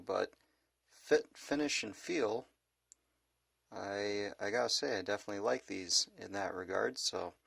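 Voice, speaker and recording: Low, gravelly male reviewer. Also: fairly bright tone, no echo, studio quality. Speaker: gadget reviewer and vlogger. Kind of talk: casual product review.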